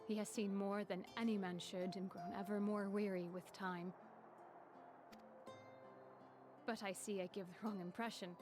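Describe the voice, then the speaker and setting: Actress as dignified fantasy game woman: mid-pitched, measured, formal, quietly weary, music underneath. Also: flat delivery, natural voice. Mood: reserved, earnest. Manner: measured, formal, archaic wording. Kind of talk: dramatic video game cutscene acting. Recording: music behind the voice.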